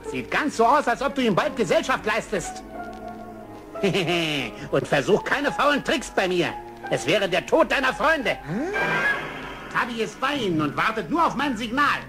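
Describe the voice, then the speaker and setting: An actor playing a smug, mocking animal catcher with false politeness in a children's audio drama. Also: male voice, high-pitched, big pitch swings, measured pace, full character voice. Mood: mocking menace. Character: gloating villainous male big-game animal catcher, slyly polite. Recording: music bed under the dialogue.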